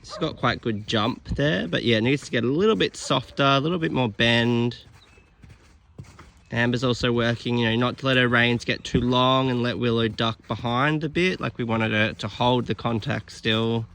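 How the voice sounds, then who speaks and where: A man's voice: mid-range voice, normal speed. A man filming and casually commenting on a horse training session, with lots of fillers. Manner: relaxed, filler-heavy explaining.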